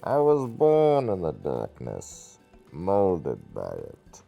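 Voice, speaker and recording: A man doing a menacing, theatrical villain impression with slow, ominous, drawn-out phrasing during a game stream. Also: low voice, wildly swooping pitch, vocal fry, highs cut off, soft background music, exaggerated voice.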